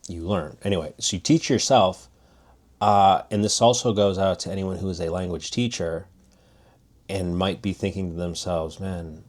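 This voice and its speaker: Casting: man with a low voice, teacher type, chatty and demonstrative, lots of restarts. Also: darkish tone. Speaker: language teacher. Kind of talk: casual spoken lesson.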